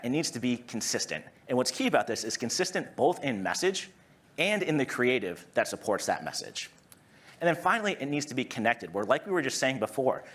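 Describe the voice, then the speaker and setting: A man in his thirties from Massachusetts with a Massachusetts accent, giving an energetic, conversational conference presentation on stage and often tagging "right?" onto his points. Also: medium pitch. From Massachusetts, USA. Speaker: conference speaker. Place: conference stage before an audience.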